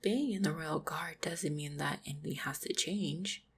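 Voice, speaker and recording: Casting: woman as a gentle orc huntress and devoted fiancée for fantasy roleplay audio, low-pitched with gravelly fry, soft and reassuring. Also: normal speed, exaggerated voice. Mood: reassuring, tender. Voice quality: husky, creaky low voice. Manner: tender, reassuring, softly spoken. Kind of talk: roleplay audio drama, intimate monologue to the listener.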